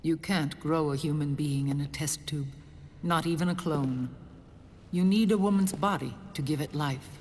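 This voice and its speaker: An actress playing an older woman who leads a resistance group, speaking in a soft, measured, deliberate way in a game cutscene. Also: deep voice, a little hiss or hum, lightly acted. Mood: solemn. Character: older woman, calm mysterious rebel leader. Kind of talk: video game cutscene acting. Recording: reverberant game cutscene with music.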